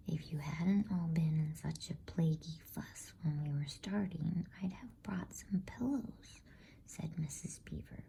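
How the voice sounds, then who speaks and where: Woman, hushed ASMR whisper, gravelly creak, soft dark tone, bedtime story narration. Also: sits low. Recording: faint background noise.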